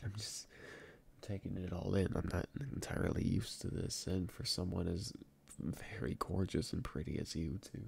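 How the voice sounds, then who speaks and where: A young man playing a shy, tender best friend in love, soft-spoken and halting, in an intimate ASMR roleplay. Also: low voice, gravelly, a little hiss or hum, exaggerated voice.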